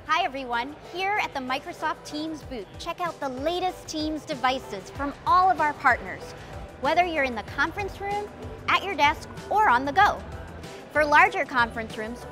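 A woman giving a cheerful, scripted product tour at a trade show booth. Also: sits high. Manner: cheerful, promotional. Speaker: presenter in a product preview video.

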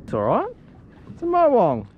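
An Australian woman chatting casually while fishing from a small boat outdoors, excitable and cheering, caught on an action camera. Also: mid-range voice, moderate pace. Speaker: fishing vlogger. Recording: dull lo-fi sound with loud wind and water.

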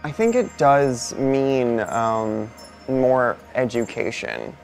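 A young non-binary speaker from Barbados talks in a thoughtful, unhurried manner, pausing on soft fillers. Their mid-range voice carries a gravelly fry, and quiet music sits faintly beneath it. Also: male voice, mid-range voice, lively intonation. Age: 20s. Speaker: interviewee. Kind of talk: reflective personal interview. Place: indoor studio before a painted backdrop.

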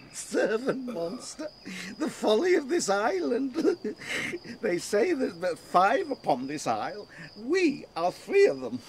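Drunk fool, high lively voice, sneering, giggly, Shakespearean audio play. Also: male voice, takes it slowly, slight background noise, heavily acted. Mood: amused, mocking. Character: tipsy, mocking, cowardly jester. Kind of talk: Shakespearean comic acting.

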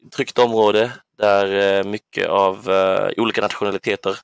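A man's voice: on the low side, level tone, vocal fry, clear, resonant tone, dry recording, clean studio sound. A man in his twenties from southern Sweden, reminiscing casually as an interview guest in a hesitant, thoughtful way. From Ängelholm, southern Sweden. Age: 20s. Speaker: podcast guest, basketball player.

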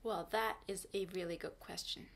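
A woman's voice: mid-range voice, steady tone, normal speed, gravelly. A young woman from Turkey, a native Turkish speaker, talks straight to the camera in a small bedroom. Her tone is earnest and candid, like she is offering advice. The camera sits a short way in front of her. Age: young adult. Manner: earnest, frank, advice-giving. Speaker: vlogger. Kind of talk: casual talk to camera. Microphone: camera in front at desk distance.

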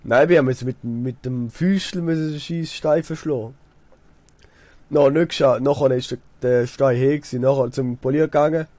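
Bright, dry-miked young Swiss German man. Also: mid-range voice, normal speed, dry recording. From Switzerland. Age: young adult. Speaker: let's player, apprentice builder. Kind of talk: casual chat while gaming.

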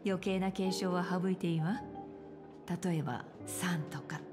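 A woman's voice: on the low side, steady tone, unhurried, natural voice. An actress playing an elegant, composed senior businesswoman in a game, with a teasing, coaxing manner. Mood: sly, composed. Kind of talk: Japanese game voice acting. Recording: music behind the voice.

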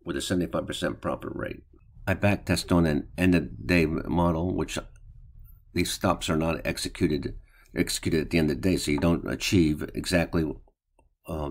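An older man hosting his stock trading channel, talking through a screen-share in a plain, unhurried way with some restarts. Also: low-pitched. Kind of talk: casual screen-share commentary. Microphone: voice-over on screen recording.

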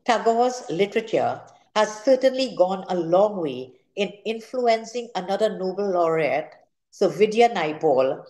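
An older Trinidadian woman reads a prepared speech as an author at a literary gathering. Her delivery is slow and formal, with careful phrasing and a medium-pitched voice. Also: animated, mellow, low-quality recording. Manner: measured, formal, careful reading. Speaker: author giving a literary talk. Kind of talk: prepared speech read aloud. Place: indoor room at home, seated at a table.

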